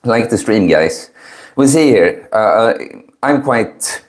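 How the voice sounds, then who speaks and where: A man in his early thirties hosting a casual livestream, chatting with viewers in a chill, mellow, low-key manner. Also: lively intonation, slow pace. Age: early 30s. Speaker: livestream host. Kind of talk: casual chat with live stream viewers.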